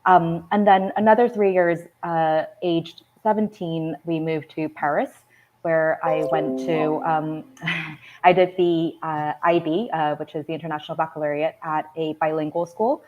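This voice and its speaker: A woman in her thirties from Korea talks as an interview guest, chatty and easygoing, with many ums and small laughs. Her voice sits low for a woman. The sound is a bit dull and lo-fi. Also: level tone, measured pace, noisy background. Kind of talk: casual interview talk.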